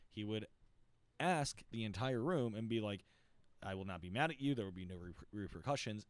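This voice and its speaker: A man's voice: fairly low pitch, a little mellow, dry recording. A man hosting a podcast in casual chat, loose and swearing often. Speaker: podcast host.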